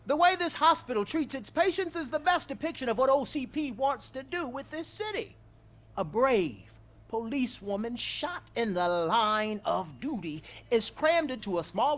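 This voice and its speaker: A woman playing a self-righteous activist in a video game, declaiming in an indignant, accusing manner. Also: sits high. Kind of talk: video game cutscene acting.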